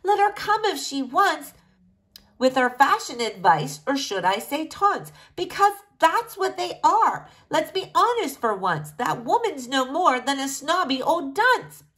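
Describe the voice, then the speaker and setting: A stubborn young princess from a rhyming storybook, voiced by a woman. She answers back firmly in a high, lively voice. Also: unhurried, heavily acted. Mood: scornful. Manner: defiant and firm.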